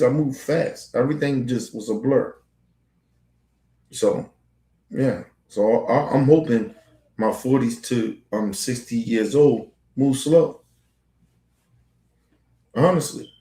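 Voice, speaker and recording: A man in his forties holds forth as a podcast host, rambling and circling back on himself in profane street slang. His voice sits at an ordinary middle pitch and carries a noticeable echo from the room around him. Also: mellow. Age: 40s. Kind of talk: casual monologue to camera.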